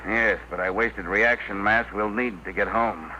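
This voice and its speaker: An actor playing a steely spaceship pilot barking clipped commands in a 1950 radio drama. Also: male voice, on the low side, a little slow, sharp tone. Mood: weary regret. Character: steely male spaceship pilot under pressure. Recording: muffled, very noisy old radio recording.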